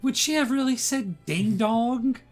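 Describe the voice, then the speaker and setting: A man's voice: very high-pitched, a little slow, soft background music. A young man co-hosting a comedy podcast, reacting with exasperated groans and questions. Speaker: podcast co-host.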